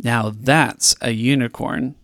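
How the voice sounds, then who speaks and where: A man's voice: medium pitch, fairly even intonation, takes it slowly, gravelly, fairly bright tone, no room sound, studio quality. A man doing a teasing little gecko. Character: teasing gecko, a small lizard.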